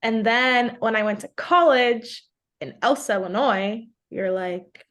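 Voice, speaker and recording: A woman's voice: fairly high pitch, measured pace, a bit bright. Chatty young woman from New York. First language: Portuguese. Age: 20s. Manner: chatty, fills speech with "like". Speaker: podcast guest. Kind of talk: casual interview chat.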